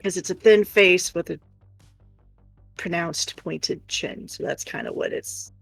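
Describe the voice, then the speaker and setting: Gravelly, husky woman in casual conversation. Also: lowish voice, steady tone, unhurried. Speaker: co-host reading chat comments. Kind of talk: casual chat. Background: music.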